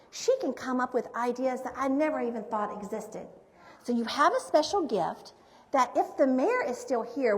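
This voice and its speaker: High-voiced, lively woman hosting children's storytime. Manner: gentle, encouraging, addressing children. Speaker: storyteller playing Mrs. Claus. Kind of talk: children's storytime read-aloud. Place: large bright indoor room, seated before children. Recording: reverberant hall, recorded live.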